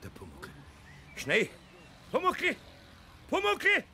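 An actor playing a kindly, flustered older master carpenter with a Bavarian accent and a husky voice in a children's audio play. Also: male voice, very high-pitched, wildly swooping pitch, takes it slowly, bright, forceful tone, slight background noise, full character voice. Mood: alarmed. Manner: hesitant, muttering.

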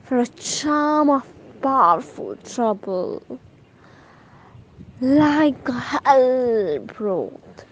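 A young woman playing a witch, reciting a Shakespearean spell in an exaggerated, drawn-out theatrical chant. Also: sits high, lively intonation, highs cut off, a little hiss or hum. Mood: eerie, dramatic. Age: young adult. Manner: slow, exaggerated, drawn-out incantation. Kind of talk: dramatic Shakespeare monologue recitation.

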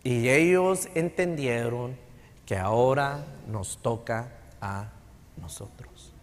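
A man's voice: medium pitch, slow pace. A middle-aged man from Texas, a pastor preaching a conversational sermon with a Texan accent, mixing in English phrases. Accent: Texan Spanish with English code-switching. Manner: conversational preaching, switching between Spanish and English. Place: large church sanctuary stage. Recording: long hall reverb in sanctuary.

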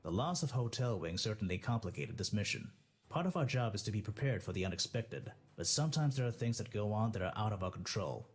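A man's voice: lowish voice, lively intonation, fairly quick, breathy edge, soft background music. A man playing a stern, formal military officer delivering a mission briefing in a space game. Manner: formal, authoritative.

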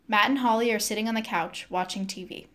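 Woman, average pitch, scripted narration, stage-direction reader. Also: fast, fairly bright tone.

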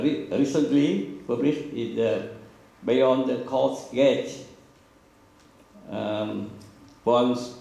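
An older man whose first language is Vietnamese introduces poets at a small reading, speaking haltingly with many fillers into a handheld mic. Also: medium pitch, gravelly, darkish tone. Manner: hesitant, many fillers and restarts. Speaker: event introducer. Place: small room at a poetry reading. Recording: echoey room, heavy reverb.

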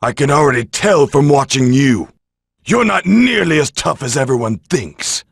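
A proud, battle-hungry alien prince for an anime game. The voice is badly rasped and gravelly, swinging widely in pitch. The delivery is haughty and taunting, recorded very dry. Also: male voice, lively intonation, moderate pace, no echo. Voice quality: gravelly, raspy. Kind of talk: dubbed anime video game acting.

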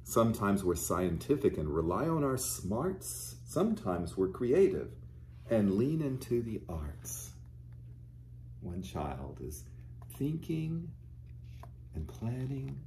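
A middle-aged man reads a children's picture book aloud in a soft, mellow tone. He takes his time, pausing to explain things simply and gently to young listeners. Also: on the low side, big pitch swings, slight background noise. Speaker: pastor. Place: small furnished living room, indoors.

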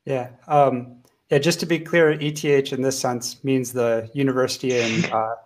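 A relaxed man talks as a panelist over a video call, his pitch sitting in the middle range. He is chatty and laughs easily, filling his pauses with plenty of um and uh. The sound is that of a call mic in a room at home. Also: a loud crowd. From United States. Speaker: panelist, hardware wallet maker. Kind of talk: casual panel discussion.

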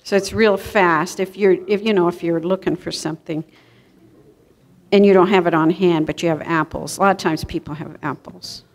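A woman's voice: lowish voice, steady tone, normal speed. A middle-aged woman giving an informal live cooking demonstration in a conversational, explanatory way. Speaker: cooking class presenter. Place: church kitchen hall, indoors, before an audience. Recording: echoey hall, live event recording.

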